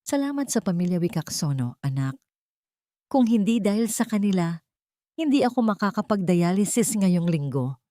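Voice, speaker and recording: A woman's voice: mid-range voice, big pitch swings, normal speed, warm tone, dry recording, clean studio sound, natural voice. Woman acting a tender, grateful old mother. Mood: tender gratitude. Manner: reassuring, tender. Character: kind, grateful elderly ailing mother on the phone. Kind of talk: dramatic audiobook dialogue.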